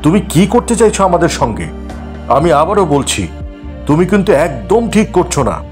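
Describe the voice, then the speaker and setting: A man's voice: a little high, very animated, moderate pace, darkish tone, faint music behind. A man playing an earnest, indignant young fairy prince in a cartoon, speaking with urgency. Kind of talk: fairy-tale cartoon acting.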